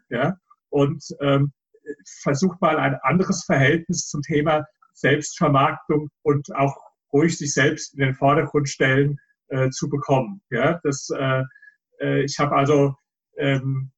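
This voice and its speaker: Husky man explaining with hesitations. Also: mid-range voice, a bit bright. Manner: explanatory, many filler hesitations. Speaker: interview guest. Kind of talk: interview answers. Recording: dull lo-fi sound.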